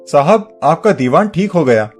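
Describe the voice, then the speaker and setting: An actor playing a humble, honest poor village carpenter, deferential and pleading, in a dramatized moral story. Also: male voice, a little high, expressive, unhurried, faint music behind. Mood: earnest.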